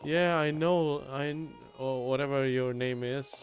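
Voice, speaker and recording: A man's voice: mid-range voice, fairly even intonation, a little slow, soft background music. A man streaming a game, reacting casually in a sweary, exclamatory way.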